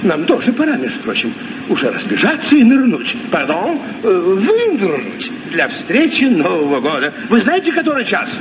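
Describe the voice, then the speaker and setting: An actor playing a flustered, excitable comic adventurer in a Soviet radio play, exclamatory and effusively polite. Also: male voice, sits high, normal speed. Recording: noisy old radio recording, distant mic.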